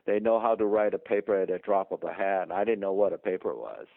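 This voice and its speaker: A man's voice: low voice, fast talker, creaky, dry recording. A middle-aged man from Taiwan with a Taiwanese accent, a dentist explaining things emphatically in an interview. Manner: emphatic, explanatory, chuckles often. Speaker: dentist guest. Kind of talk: interview conversation. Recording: dry tinny phone line.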